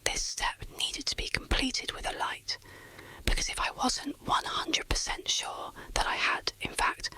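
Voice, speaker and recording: Hushed whispering storyteller, bright and breathy. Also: poor audio. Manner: measured, deliberate, hushed. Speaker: storyteller, ASMR creator. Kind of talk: whispered ASMR horror story narration in the first person.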